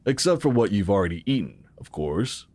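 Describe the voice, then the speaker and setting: An actor playing a calm, assured founder of a futuristic tech organization, explaining in a measured way in an audio drama. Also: male voice, fairly low pitch, no room sound. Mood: explanatory, assured. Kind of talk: scripted audio drama acting.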